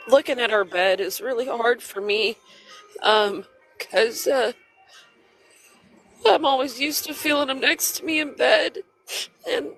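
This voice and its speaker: A man's voice: extremely high pitch, a bit bright, poor audio. Tearful, congested grieving man. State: crying, congested, stuffy. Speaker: livestream host. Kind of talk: emotional live stream talk.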